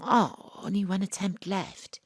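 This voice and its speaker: A woman's voice: medium pitch, measured pace, husky, no room sound, clean studio sound. A woman acting an eccentric amateur detective who narrates her investigation in an audio drama, theatrically and with dramatic repetition. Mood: intense, searching. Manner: theatrical, breathless self-talk with dramatic repetition. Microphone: close home studio microphone.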